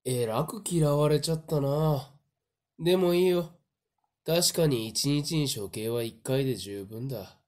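A man playing a smug young madman in a voice drama, suave, mocking and drawn-out in his delivery. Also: a little high, a little mellow, natural voice. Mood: calm, sly. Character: smug, theatrical young male madman relishing a deadly game. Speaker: voice actor. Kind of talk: anime-style voice drama acting.

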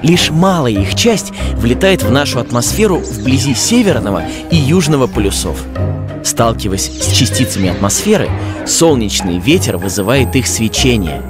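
A man's voice: mid-range voice, very animated, moderate pace. A man reading scripted educational narration for children as a narrator. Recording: music under the voice.

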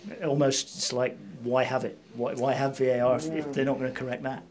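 A man's voice: medium pitch, level tone, fairly quick, darkish tone. A man doing a conversational post-match sports interview, chatty and fond of tag questions. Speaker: club interviewer.

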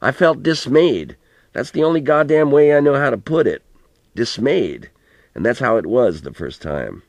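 A man's voice: mid-range voice, very animated, normal speed, dry recording. A man reading a storybook as an elderly farmer grandfather, plain-spoken and folksy, telling rambling, earnest reminiscences with some mild swearing. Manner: colloquial rural storytelling, plain-spoken, mildly profane.

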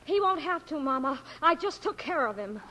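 A woman's voice: sits high, big pitch swings, normal speed, sharp tone, full character voice. A woman playing a fiery, dramatic teenage girl, earnest and emphatic in 1940s radio drama style. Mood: proud, defiant. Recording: old radio broadcast, music behind.

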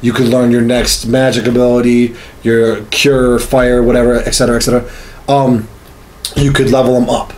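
A man's voice: medium pitch, flat delivery, moderate pace, darkish tone, rough recording. A man in his thirties talking casually and emphatically about games, swearing and repeating himself as he goes. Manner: profane, emphatic, repetitive. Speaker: podcast host. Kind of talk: casual gaming chat. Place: small home studio room, indoors.

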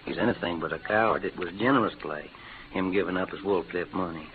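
A man's voice: low voice, fast, natural voice. An actor playing an easygoing, modest cowboy gunman with an American Western accent and wry, slangy drawl in an old radio western. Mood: tender, firm. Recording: tinny, noisy old radio recording.

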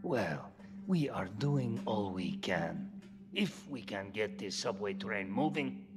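An actor playing a weary, courteous middle-aged mercenary commander, speaking in a measured, formal, grave manner in a video game scene. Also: male voice, on the low side, background noise. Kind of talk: video game cutscene acting. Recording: long reverb, loud music behind.

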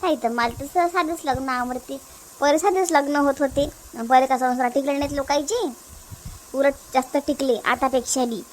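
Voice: high voice, fairly even intonation, normal speed, a little hiss or hum, natural voice. A performer voicing a fussy, talkative, practical village mother in a Marathi family cartoon, with a Varhadi accent and a homely, rambling manner. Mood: approving, opinionated. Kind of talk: comic family cartoon dubbing.

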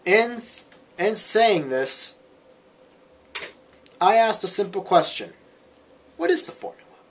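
Young male vlogger, voice a bit on the high side, conversational and emphatic, recorded indoors on a webcam. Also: animated, slow pace, husky. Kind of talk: casual opinion monologue read to camera. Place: small bedroom, indoors. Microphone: camera or webcam at arm's length.

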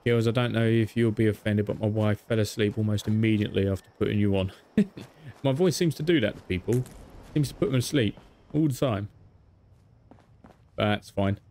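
A man chatting casually while playing a co-op game, with a soft, soothing voice and a joking manner. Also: lowish voice, fairly quick. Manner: joking, mock-narrates his friend's life. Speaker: gamer co-op player. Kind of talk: casual gaming chat.

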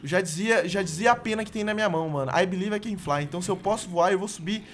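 A young man hosting a Minecraft gaming video, hoarse from a cold and talking in an excited, chatty way. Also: high voice, quick pace, slight background noise. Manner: excitable, yelps for help. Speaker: gaming channel host. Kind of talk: excited Minecraft let's play chat.

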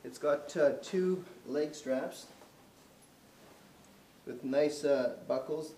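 A man in his forties gives a relaxed, off-the-cuff walkthrough of gear, with plenty of fillers and restarts as he moves about. He sounds some distance from the camera, and the showroom has a noticeably roomy echo with a faint background hiss. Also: on the high side, drawn-out words. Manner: moving around while demonstrating. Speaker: product demonstrator. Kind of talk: casual product walkthrough. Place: indoor showroom, medium-sized room. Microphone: camera mic from a distance.

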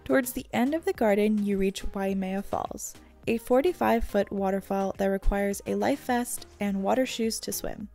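Female travel narrator, scripted guide read, warm low-brightness tone with gravelly fry, faint music behind. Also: moderate pace, hardly any pauses.

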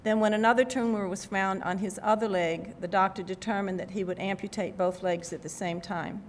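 An older woman delivers a prepared keynote from notes at a podium. Her voice sits at a middling pitch, with faint rumbling noise behind it. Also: steady tone, fairly quick. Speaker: keynote speaker. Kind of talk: prepared speech read from notes. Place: event hall at a podium. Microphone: podium microphone.

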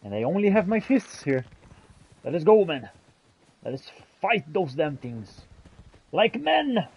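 A male gamer role-playing aloud as he plays, with a husky, mellow voice. His pitch moves around a lot and his delivery is theatrical. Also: big pitch swings, measured pace, lo-fi sound. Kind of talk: in-character gameplay commentary.

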